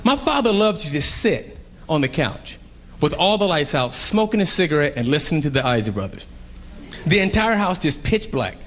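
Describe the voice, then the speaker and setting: A young man performing a stand-up comedy set on a club stage, telling animated stories and acting out characters. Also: on the high side, normal speed. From Columbus, Ohio. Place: comedy club stage before a laughing crowd. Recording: live club recording with crowd laughter and noise.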